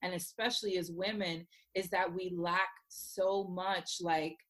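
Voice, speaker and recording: A woman from Puerto Rico who grew up in Florida, a consultant talking as a podcast guest in a chatty, animated way, laughing easily. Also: lowish voice, unhurried. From Puerto Rican, grew up in Orlando, Florida. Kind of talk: casual interview conversation.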